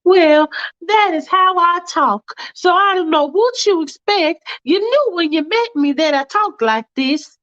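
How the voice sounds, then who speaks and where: A woman putting on a prissy, high-pitched sing-song lady. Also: animated, unhurried, fairly bright tone, muffled recording, exaggerated voice. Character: fussy prim woman with a babyish way of talking.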